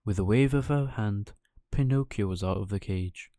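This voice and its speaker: A man's voice: lowish voice, moderate pace, dark tone, no room sound, clean studio sound. A man narrating a children's bedtime story as a storyteller.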